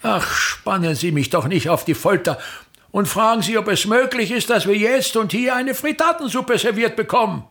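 Radio drama: grandiose, sour middle-aged stage impresario, gravelly and husky, forever complaining. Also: male voice, high-pitched, lively intonation, moderate pace, fairly bright tone, heavily acted. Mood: demanding. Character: pompous, disdainful, self-pitying male touring theatre impresario. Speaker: actor playing a travelling playwright-actor. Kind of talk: theatrical radio drama monologue.

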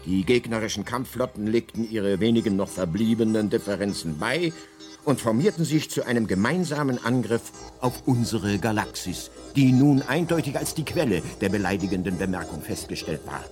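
A man's voice: lowish voice, animated, moderate pace, a little mellow, faint music behind, lightly acted. A man playing the narrator of a radio drama, a guidebook telling its tale in a dryly grandiose, scripted storytelling manner. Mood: dry, epic.

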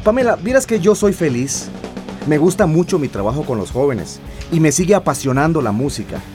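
An actor with a Costa Rican accent playing a passionate, newly defiant teacher and musician in a radio drama. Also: male voice, a little high, animated, fairly quick, music in the background, lightly acted. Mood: defiant, wounded.

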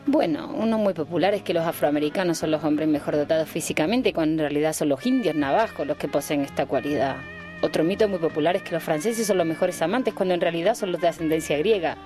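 A woman's voice: deep voice, fast, dark tone, faint music behind, close to an everyday voice. A woman playing a poised, matter-of-fact female lecturer in a comic radio sketch. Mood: poised, frank.

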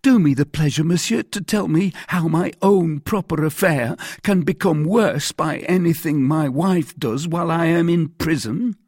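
A man's voice: a little high, moderate pace, few pauses, a little mellow, slightly rough, no echo, clean studio sound, voice pushed up. A man voicing an agitated, cowardly mercer who pleads and protests at length in a storybook reading. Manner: pleading, long-winded protests. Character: agitated, cowardly, wailing middle-aged male mercer under arrest.

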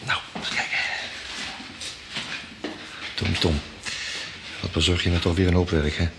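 Deep voice guy, old radio play, hissy noisy tape, quiet murmury searching. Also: flat delivery, a little slow, creaky. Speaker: radio drama actor.

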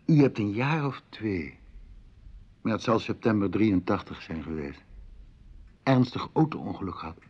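An insinuating musician who poses as a seer in a radio drama. His voice is low and rough-edged, with big pitch swings and a knowing, mannered delivery. The sound is slightly muffled. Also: expressive, unhurried, long pauses, darkish tone. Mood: knowing, mysterious. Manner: mannered, knowing, falsely modest. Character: enigmatic, insinuating male musician guest, self-styled clairvoyant. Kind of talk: naturalistic Dutch radio play acting. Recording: dull, lo-fi radio play recording.

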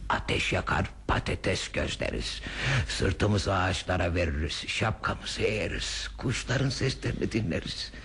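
An actor playing an older man in a radio play, a handy, dreamy enthusiast who coaxes and reassures in a husky voice. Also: sits low, animated, unhurried, fairly bright tone, exaggerated voice. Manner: coaxing, reassuring. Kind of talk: radio theater acting.

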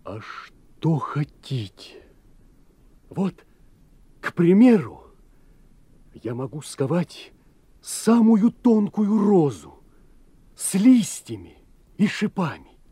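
An actor playing a proud young village blacksmith who talks in an earnest, boastful way, in a radio play. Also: male voice, high voice, big pitch swings, slow, long pauses, dark tone, husky, dry recording, full character voice. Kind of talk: 1980s radio play acting.

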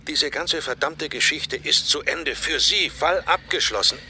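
A man's voice: fairly high pitch, measured pace, sharp tone, slight background noise, full character voice. An actor playing a stern, blustering police chief in a radio drama, commanding and clipped in his manner. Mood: grudging, firm. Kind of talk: German radio drama acting.

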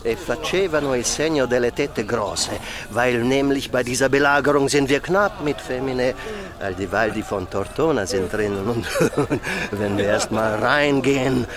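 An actor playing a lewd, coarse medieval German soldier in a radio drama, using rough pidgin speech. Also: male voice, medium pitch, moderate pace, lightly acted. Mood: lewd, leering. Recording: busy outdoor crowd scene.

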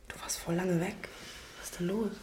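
A woman's voice: fairly low pitch, steady tone, normal speed, noisy background, lightly acted. A woman playing an anxious, apologetic partner who hesitantly placates her jealous girlfriend in a naturalistic audio drama. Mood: anxious, pleading.

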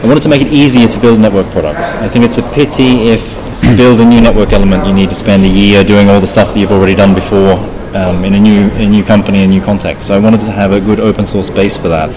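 A man's voice: lowish voice, steady tone, fairly quick, hardly any pauses, low-quality recording. A man giving technical interview answers as a guest, often repeating and rephrasing as he explains. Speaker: interview guest, open source project founder. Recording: busy crowd chatter behind the voice. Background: crowd of people talking.

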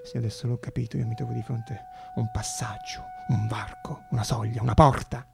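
Casting: idealistic young student, intense, halting radio-play delivery, rough creaky edge. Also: male voice, medium pitch, steady tone, over loud music. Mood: searching, fervent. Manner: intense, halting, repeats words, drops to whispers. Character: earnest young male student inventor, idealistic, anxious, excitable. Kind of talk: theatrical radio monologue.

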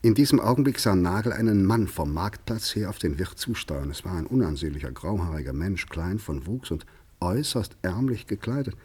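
Radio drama narrator: man, very low, husky voice, scripted literary storytelling. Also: expressive, brisk, a little mellow.

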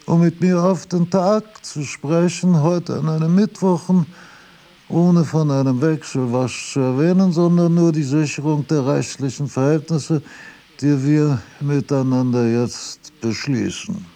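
An actor playing an aged philosopher who muses aloud in a radio drama, halting and drifting from thought to thought. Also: male voice, fairly high pitch, warm tone. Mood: musing, rambling. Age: older. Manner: halting, digressive, musing aloud. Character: aged philosopher Kant, rambling through notes and household trifles.

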